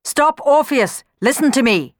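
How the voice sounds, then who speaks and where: A woman's voice: on the low side, unhurried, no room sound, clean studio sound, natural voice. A woman voicing a benevolent, cheerful male god of love in a storybook, commanding yet playful.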